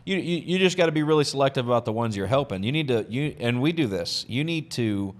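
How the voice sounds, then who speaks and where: A man's voice: mid-range voice, lively intonation, quick pace. A man hosting a business podcast in casual chat, talking emphatically and repeating his key phrases. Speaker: podcast host.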